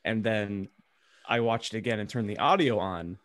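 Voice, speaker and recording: Informal, rambling man with a lowish voice. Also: moderate pace, fairly bright tone. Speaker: podcast co-host. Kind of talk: casual chat.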